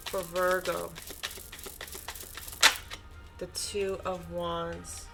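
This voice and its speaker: A woman with a blocked, stuffy nose speaks slowly and unhurriedly, her voice sitting a little low. She lays out tarot cards with soft reassurance, with clear music playing behind her. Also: flat delivery. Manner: gentle, reassuring, often checks in with "okay?". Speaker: tarot reader. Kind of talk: unscripted spiritual tarot reading.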